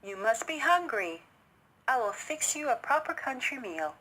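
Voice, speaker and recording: A woman's voice: on the high side, big pitch swings, moderate pace, bright, forceful tone, husky, pitched above the performer's own voice, full character voice, rough recording. A woman voicing a hospitable, easily amazed male country chipmunk in a children's storybook, with an eager, exclaiming manner. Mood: welcoming.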